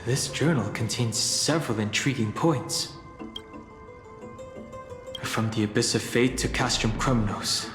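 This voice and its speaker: An actor playing a calm, analytical young traveler in a fantasy game, speaking in a measured, formal and reserved way. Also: male voice, lowish voice, natural voice. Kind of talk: scripted fantasy game voice acting. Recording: echoey long reverb, game music behind. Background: game music.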